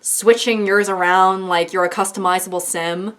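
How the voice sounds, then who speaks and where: Sarcastic woman doing casual vlog commentary, mid-pitched and chatty. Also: fairly even intonation, moderate pace, a bit bright, clear, resonant tone. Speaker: YouTuber.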